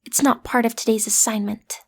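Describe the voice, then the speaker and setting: A woman's voice: a little high, fairly even intonation, a little fast, creaky, husky. A woman playing a cute teenage girl who is training to be a supervillain, sassy and theatrical but a little awkward, in a roleplay audio drama. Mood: flustered, bossy. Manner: theatrical, sassy, hesitant when breaking character.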